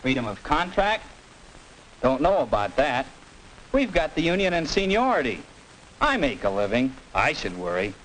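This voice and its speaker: Dismissive working man, American, offhand, mid pitch, varied intonation, 1940s film interview, faint hiss. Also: very animated, moderate pace, long pauses, fairly bright tone, poor audio. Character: unconcerned union working man, casually dismissive. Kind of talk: 1940s staged street interview acting. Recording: hissy old film soundtrack.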